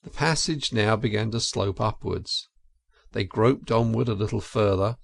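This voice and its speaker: A man narrating an audiobook story in a measured, expressive and dramatic storytelling style. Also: on the low side, creaky, no room sound, clean studio sound.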